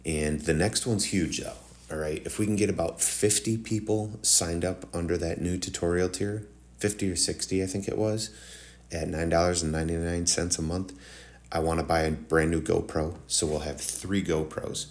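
Low-voiced man, slightly nasal. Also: steady tone, moderate pace. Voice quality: slightly nasal, congested morning voice. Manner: informal, rambling, addresses viewers directly. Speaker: host of woodworking tutorial channel. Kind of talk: casual tutorial voice-over.

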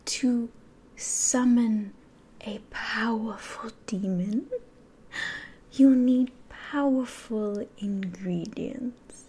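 A woman's voice: a little high, extremely slow, dark tone, husky, exaggerated voice. A young woman playing a sly, grandiose demon ruler in an ASMR roleplay, mostly whispering theatrically close to the microphone. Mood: grandiose, scheming. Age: 20s.

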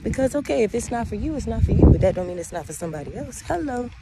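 A woman's voice: fairly high pitch, sing-song, rapid-fire. A woman, chatting casually and rambling straight to her viewers as she walks along a beach. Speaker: vlogger. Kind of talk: casual walking vlog. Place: outdoors on a windy beach. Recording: loud wind and wave noise. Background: wind and surf.

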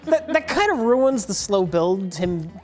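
A woman's voice: mid-range voice, big pitch swings, unhurried, soft background music. A woman talking casually as a panelist on a film review show.

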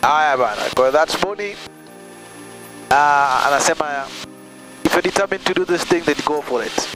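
A man's voice: fairly high pitch, normal speed, over music, poor audio. Chatty young man talking in a plane cockpit. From Tanzania. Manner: chatty, laughing, switches languages often. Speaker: pilot and vlogger. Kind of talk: casual storytelling mixing Swahili and English. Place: small aircraft cockpit in flight. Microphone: headset boom mic.

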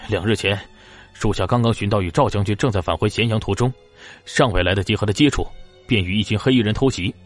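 A male actor voices a loyal, dying messenger in a historical audiobook. His voice is low, dry and hoarse as he reports dutifully, with music underneath. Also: fast talker, lightly acted. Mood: weak, urgent. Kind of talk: audiobook character acting in a period drama.

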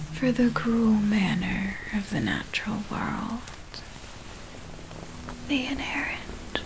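A woman voicing a possessive, menacing yet tender vampire captor in an ASMR roleplay, in a breathy, hypnotic whisper. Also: on the high side, exaggerated voice, low-quality recording. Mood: coolly apologetic. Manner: slow, drawn-out, hypnotic delivery.

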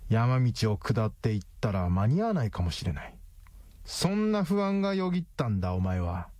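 A man playing a tough, defiant detective hero in a radio drama, speaking in a rough, taunting, deliberate way. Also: medium pitch, sing-song, a little mellow, dry recording, clean studio sound, close to an everyday voice. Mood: smug, analytical.

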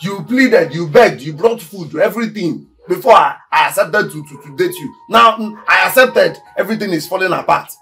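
An actor playing a poor, aggrieved young village farmer with a Nigerian accent, stammering and repeating himself in agitation. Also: male voice, sits high, lively intonation, moderate pace, gravelly, hardly any pauses, pushed and bright, full character voice. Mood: angry, aggrieved. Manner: agitated, stammering repetition of words. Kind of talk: Nollywood film acting. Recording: background music under dialogue.

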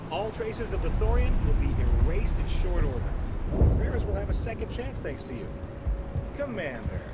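A man's voice: high-pitched, very animated, moderate pace, heavy background noise. A man playing a confident, appreciative colony settler in a video game. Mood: confident, grateful.

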